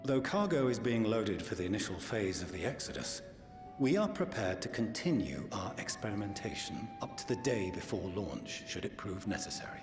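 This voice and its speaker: A man playing a grave, analytical official in a video game, speaking in a measured, formal, precise way. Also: on the low side, very animated. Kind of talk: scripted video game voice acting. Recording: echoing game audio under loud music.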